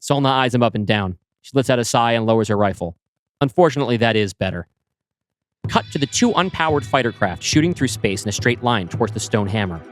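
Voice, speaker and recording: A man's voice: mid-range voice, fast, dry recording, soft background music. Man reading a sci-fi script. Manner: even, descriptive narration. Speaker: storyteller.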